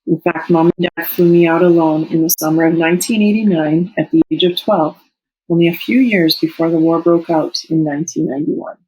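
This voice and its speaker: A Canadian-born woman in her forties whose first language is Serbo-Croatian, reading a personal reflection in an earnest, measured way. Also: lowish voice, steady tone, darkish tone, breathy edge, rough recording. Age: 40s. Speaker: teacher sharing a personal reflection.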